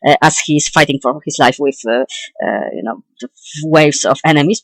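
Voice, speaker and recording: A young adult man giving an opinionated, informal video essay as a game commentator, halting and correcting himself as he goes. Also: fairly high pitch, no echo, poor audio. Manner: hesitant, halting delivery with self-corrections.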